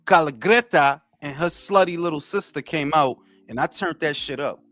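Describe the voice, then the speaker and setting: A man in his forties from New York, co-hosting a casual talk show and telling loud, profane stories. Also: fairly high pitch, normal speed, dry recording, faint music behind. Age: 40s. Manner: animated, profane storytelling. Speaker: podcast co-host. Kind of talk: casual chat.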